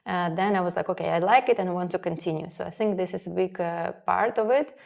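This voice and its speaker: A woman's voice: fairly low pitch, quick pace, creaky, a little mellow. A woman from Russia, not a native English speaker, talking casually as an interview guest in her sewing studio and often quoting her own past thoughts. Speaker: guest, sewing school founder.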